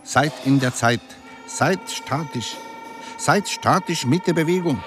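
A man's voice: fairly high pitch, measured pace, background noise, lightly acted. A man playing an avant-garde artist in an audio drama, declaiming a manifesto in short, emphatic phrases. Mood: exhortative.

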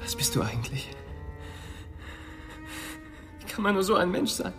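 A man acting in a radio drama as an anxious, defensive young man, speaking uneasily and haltingly in protest. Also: high-pitched, very animated, over music. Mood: bewildered, protesting.

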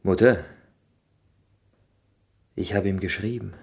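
A very husky, impassioned young son. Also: male voice, deep voice, steady tone, slow pace, darkish tone, exaggerated voice. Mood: urgent resolve. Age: young adult. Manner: urgent, theatrical, dropping to breathy pleading. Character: earnest, headstrong young son, passionate and pleading. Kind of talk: 1960s German radio drama acting.

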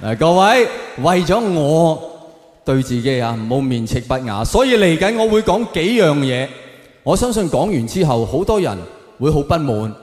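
A man with a Hong Kong Cantonese accent doing an animated, colloquial stand-up comedy routine on stage. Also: fairly high pitch, moderate pace. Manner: animated, colloquial, acting out exchanges. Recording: echoey hall with long reverb.

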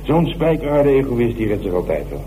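An actor playing a cynical, hard-boiled police inspector in a radio drama, talking in a wry, mocking, colloquial way. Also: male voice, medium pitch, sing-song, a little fast, warm tone, natural voice. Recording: humming, noisy radio play recording.

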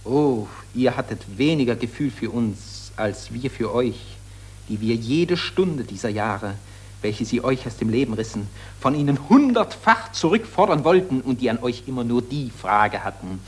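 An actor playing an impassioned satirist who accuses warmongers and summons the war dead, declaiming solemnly in 1940s German radio drama style. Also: male voice, mid-range voice, expressive, moderate pace, husky. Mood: grave grief.

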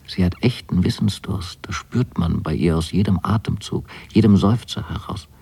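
A naive, dreamy son in a literary radio play. His voice is low and mellow, slightly rough, and he muses politely in measured phrases. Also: exaggerated voice. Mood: dreamy musing. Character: dreamy, naive young son writing letters to his mother. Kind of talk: literary radio drama monologue.

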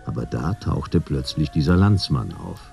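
Stranded gumshoe for sci-fi radio play: very low, creaky voice, laconic and sardonic. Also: male voice, animated, normal speed, a little mellow, faint music behind, heavily acted. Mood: sardonic narration. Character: weary, sardonic male private detective, broke and stranded. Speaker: narrator and lead character. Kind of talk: hard-boiled detective radio drama narration and dialogue.

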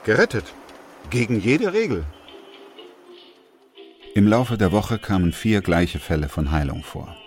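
An actor playing a composed, middle-aged doctor who narrates a plague chronicle in a radio drama, calm and sober. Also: male voice, on the low side, a little slow, long pauses, faint music behind. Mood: relieved.

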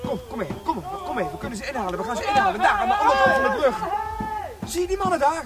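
A very high, youthful voice for a seventeen-year-old boy in a radio play. He is eager and defiant, repeating his words breathlessly and calling out. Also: wildly swooping pitch, rapid-fire, over a noisy crowd, heavily acted. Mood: excited, eager. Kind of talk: radio drama acting.

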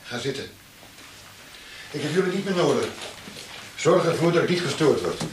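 An actor in a radio drama playing a commanding official who gives curt, brisk orders to guards. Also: male voice, fairly high pitch, animated, close to an everyday voice. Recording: echoey, distant, very noisy.

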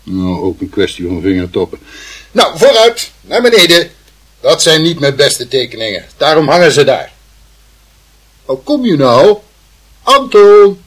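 A self-important uncle of a radio play, an artist who loves to instruct. His husky voice leaps up and down, patronising one moment and brusquely fussy the next. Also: medium pitch, sing-song, moderate pace, a bit bright. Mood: brusque, flustered. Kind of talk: Dutch radio play acting.

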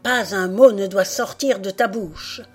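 A woman's voice: medium pitch, a little slow, husky, faint music behind. A woman voicing a frightened, protective old grandmother in a storybook tale, urgent and breathless. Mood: urgent warning. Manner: breathless, urgent, hushed warnings.

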